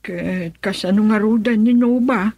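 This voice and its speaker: A concerned older mom in a radio drama, bit raspy, ilocano accent, dry close recording. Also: female voice, mid-range voice, unhurried, a little mellow, no echo. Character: concerned older mother, called Nana.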